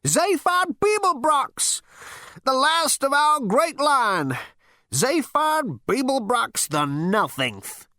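A man voicing a tiny, ancient, irritable alien great-grandfather in a screechy, rasping storybook voice, scolding and scornful. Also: very high voice, big pitch swings, bright, forceful tone, no echo, studio quality, pitched above the performer's own voice, full character voice. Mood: scornful, snapping. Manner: snapping, scolding, drawn-out emphasis.

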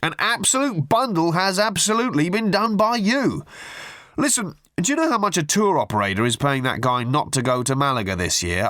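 A man's voice: mid-range voice, a bit bright, dry recording, clean studio sound, pitched above the performer's own voice. A man voicing a garrulous male tabloid journalist who babbles nonsense endearments on the phone in an audiobook. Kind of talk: audiobook character voice.

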